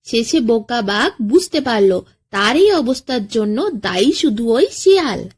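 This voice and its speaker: A woman narrating a children's bedtime folk tale as a storyteller, in an expressive, dramatic way. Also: fairly high pitch, a little slow, husky.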